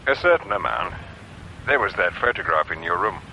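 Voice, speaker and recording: An actor playing a terse, authoritative police officer in a radio drama, with a dry, clipped way of talking. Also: male voice, sits low, animated, normal speed, pushed and bright, a little hiss or hum, full character voice, low-quality recording. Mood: grave, restrained.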